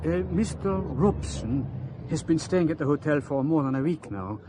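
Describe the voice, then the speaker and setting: A man's voice: fairly high pitch, expressive, normal speed, noisy background, close to an everyday voice. An actor playing a dutiful, deferential male hotel clerk in a radio drama, speaking in a matter-of-fact way. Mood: grave, matter-of-fact. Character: obliging, sober hotel clerk, dutiful and helpful.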